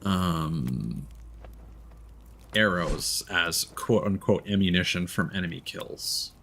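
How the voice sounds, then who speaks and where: Low-voiced man streaming, noise behind. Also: lively intonation, takes it slowly, creaky, a bit bright. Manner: thinking aloud, musing. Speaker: game streamer. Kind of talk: casual live gameplay commentary.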